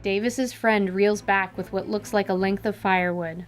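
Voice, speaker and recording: A woman's voice: mid-range voice, steady tone, normal speed. A woman giving dramatic, scripted narration for a fantasy radio play. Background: music.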